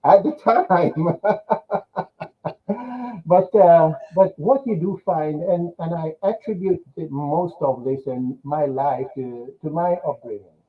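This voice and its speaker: An older man with a Jamaican accent speaks through a narrow, phone-like connection. His voice sits fairly high for a man as he recounts his life story as an interview guest. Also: takes it slowly. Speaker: interview guest, business coach.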